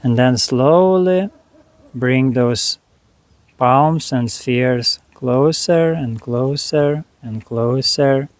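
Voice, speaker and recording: A man leading a guided meditation, speaking to the listener in short, unhurried phrases with long pauses between them. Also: medium pitch, no room sound.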